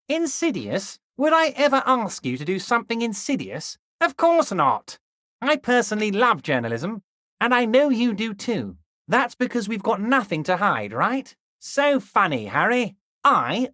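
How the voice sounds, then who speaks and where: A scheming middle-aged union boss from a video game, talks with a high, lilting voice that swoops up and down. He is sugary and falsely friendly, and he dips down low whenever he says his listener's name. The sound is close and dry, with no room around it. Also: a bit bright, dry recording, exaggerated voice. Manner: sing-song and falsely friendly, sinking low on the name he keeps repeating. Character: smooth-talking, manipulative middle-aged male union boss. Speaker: game character.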